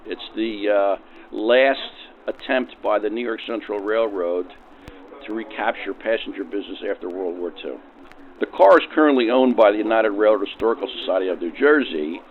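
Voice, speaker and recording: A man with a fairly low voice explains things in an interview as a rail car restorer. The sound is thin, like an old radio. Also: moderate pace, phone-quality sound. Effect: vintage radio filter. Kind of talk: informative interview answers.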